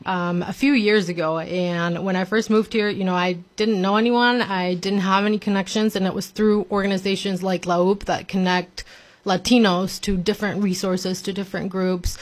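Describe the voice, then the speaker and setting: A woman's voice: mid-range voice, steady tone, moderate pace. A woman from Mexico, answering interview questions as a nonprofit director in an earnest, upbeat, conversational way.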